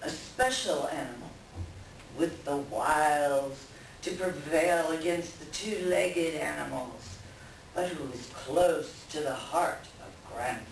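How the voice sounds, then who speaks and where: A woman playing a grave, commanding leader of the animal people in a readers theater folktale, speaking deliberately. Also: deep voice, gravelly, full character voice. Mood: solemn. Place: library room at a live reading. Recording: echoey room, distant mic, faint noise.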